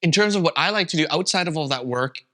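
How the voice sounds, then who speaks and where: Bright-voiced Canadian man, relaxed. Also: a little high, fast talker. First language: Vietnamese. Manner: relaxed, rambling, with many fillers. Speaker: podcast co-host. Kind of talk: casual chat.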